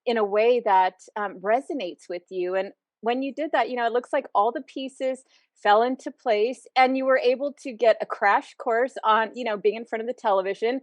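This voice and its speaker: A woman's voice: fairly high pitch, moderate pace, dry recording. A woman hosting an interview, affirming and encouraging her guest and reflecting on what they say. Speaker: interview host. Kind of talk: interview conversation.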